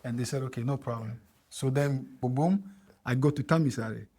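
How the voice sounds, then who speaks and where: A man in his thirties, from Ghana, talks as a guest on a casual podcast. He tells his story with energy and acts out past conversations. His voice sits at a middle pitch. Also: brisk. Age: 30s. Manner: animated storyteller, acts out dialogue with laughs.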